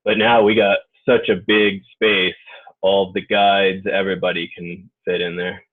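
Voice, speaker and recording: A man leading an unscripted guided group meditation in an informal, halting way. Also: deep voice, flat delivery. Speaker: guided meditation leader and healer. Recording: muffled phone-like narrow-band audio.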